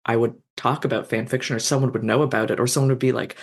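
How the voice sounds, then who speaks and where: A fanfiction author in their thirties, a guest in a casual podcast interview, speaking thoughtfully and self-deprecatingly with many fillers and pauses. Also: medium pitch, steady tone, husky.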